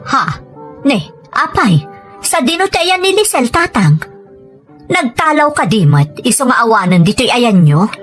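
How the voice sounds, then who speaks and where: A woman's voice: a little high, very animated, unhurried, soft background music. A woman playing a pleading, questioning mother who is struggling, in a family drama. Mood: surprised, questioning. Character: pleading, self-concerned adult daughter, a struggling mother. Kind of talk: dramatized Ilocano family story.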